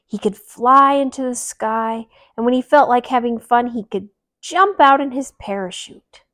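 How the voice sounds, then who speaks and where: A woman's voice: a little high, a little slow, fairly bright tone, pitched above the performer's own voice. A keen little girl bunny from a children's book acted out by a woman. She speaks in a bouncy, emphatic way, full of eagerness. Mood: eager.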